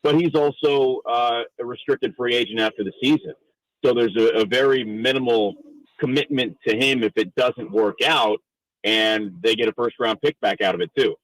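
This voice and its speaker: A man's voice: mid-range voice, moderate pace, fairly bright tone, dry recording, rough recording. A man from the Northeastern United States, a sports announcer, talking conversationally and wordily as a radio interview guest.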